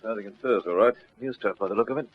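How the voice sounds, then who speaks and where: An actor playing a brisk, easygoing junior police detective, clipped and matter-of-fact, in an old radio drama. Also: male voice, on the low side, narrow, tinny audio, slight background noise, natural voice.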